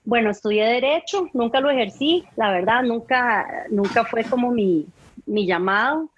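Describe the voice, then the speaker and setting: A woman's voice: fairly high pitch, moderate pace, dull audio, slight background noise. A woman in her forties with a Costa Rican accent, a guest in a casual interview, talking in a chatty way and often ending sentences with a questioning "right?". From Costa Rica. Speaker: guest, home organizing consultant.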